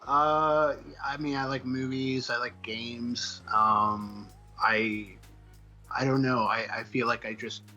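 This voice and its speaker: Male guest, casual interview talk, mid-pitched and very bright, halting, self-deprecating delivery over faint music. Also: steady tone, low-quality recording. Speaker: comic book writer being interviewed. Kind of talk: casual chat, interview answers.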